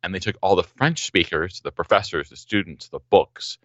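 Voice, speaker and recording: A young man speaking as a podcast guest, with a very deep voice that still carries a bright, forceful edge. He tells his stories with enthusiasm, using a few ums along the way. The audio is dry and somewhat muffled. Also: normal speed, no room sound. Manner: enthusiastic storyteller, some ums. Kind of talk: casual podcast conversation.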